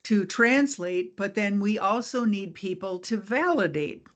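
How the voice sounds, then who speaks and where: An upbeat woman hosting a podcast at a mid-range pitch. She speaks in an encouraging, chatty way and drops quick asides as she talks. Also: expressive. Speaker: podcast host. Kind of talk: casual podcast interview.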